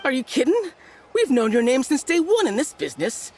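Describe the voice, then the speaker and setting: Shady game dealer, surly and curt, voice jumps around a lot, slight noise. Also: male voice, very high-pitched, big pitch swings, a little fast, darkish tone, heavily acted. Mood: rattled, frank. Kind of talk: video game voice acting.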